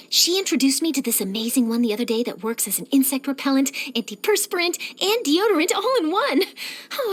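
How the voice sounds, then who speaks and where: A woman voicing an eager, nosy young female journalist in a video game, chatty, theatrical and expressive. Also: sits high. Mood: delighted, gushing. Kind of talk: animated game character voice lines.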